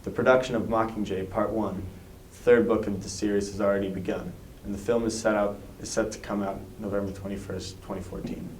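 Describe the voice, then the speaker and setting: A young man reads school news in a low, level voice that barely rises or falls. He keeps a measured pace and corrects small slips as he goes. The sound is roomy and echoey, with a faint hiss underneath. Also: fairly even intonation, a little mellow. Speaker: student news anchor. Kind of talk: read news report. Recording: echoey room with faint noise.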